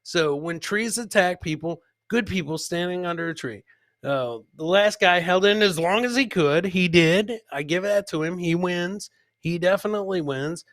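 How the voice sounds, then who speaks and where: A man's voice: sits high, moderate pace, a bit bright, dry recording. A man hosting a live comedy clip show, bantering casually and jokily with his co-host and chat. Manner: jokey, addresses co-host and live chat by nickname.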